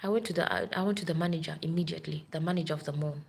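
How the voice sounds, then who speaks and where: A woman's voice: deep voice, racing, creaky, a little mellow, slightly rough. A woman who works as a nurse, telling a long story in a rambling, urgent way on a live stream. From Africa.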